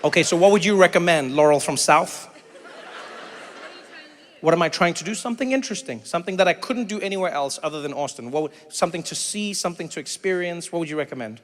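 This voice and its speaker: A middle-aged man from Africa, doing animated stand-up comedy and crowd work, breaking into shouted impressions and teasing banter. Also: on the high side, quick pace. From South Africa. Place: stage in a large theater before an audience. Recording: live theater with long hall reverb and faint room noise.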